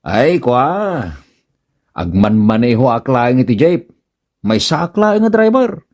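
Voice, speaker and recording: A man acting a henpecked village husband in an Ilocano comedy radio drama. His Ilocano-accented voice swings widely in pitch as he stammers, grunts and hems, easily flustered. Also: big pitch swings, slow pace, darkish tone. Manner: stammering, hemming and hawing, grunting exclamations.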